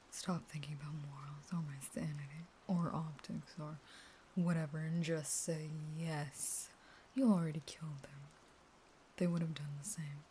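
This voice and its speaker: A woman playing a caged, starving vampire in an intimate audio roleplay, half-whispering in a slow, taunting, pleading and unstable way. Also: low-pitched, warm tone, slight background noise. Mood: hungry, insistent.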